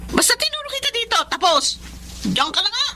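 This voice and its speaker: A woman's voice: extremely high pitch, very animated, fairly quick, noisy background. A woman with a Filipino accent acting a brash, flippant shanty dweller in a Tagalog radio drama. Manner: sassy, offhand.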